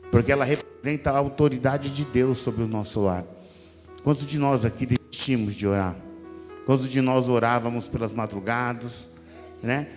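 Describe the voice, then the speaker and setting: A man's voice: mid-range voice, a little mellow. A Brazilian man in his fifties, a pastor, delivering a conversational, emphatic sermon with repetition and rhetorical questions to his listeners. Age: 50s. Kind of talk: sermon, conversational exhortation to a congregation. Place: church hall. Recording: reverberant hall with music underneath. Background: music.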